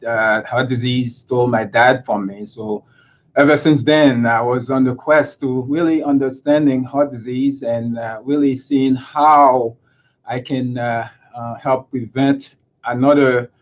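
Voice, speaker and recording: A cardiologist originally from Haiti, speaking in an interview and pausing often with filler sounds. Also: male voice, medium pitch, steady tone, muffled recording. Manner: hesitant, many filler sounds. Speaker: cardiologist guest. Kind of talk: interview conversation.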